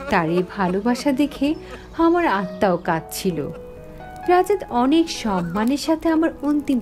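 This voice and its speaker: A woman's voice: a little high, a little mellow. A woman voicing the sorrowful ghost of a young village teacher, telling her story dramatically in a horror cartoon. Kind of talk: dramatic first-person horror story narration. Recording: background music under the voice.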